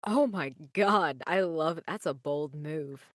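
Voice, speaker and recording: A woman's voice: low voice, slow, fairly bright tone, no room sound, studio quality. A woman chatting casually and narrating her character in a tabletop roleplaying game.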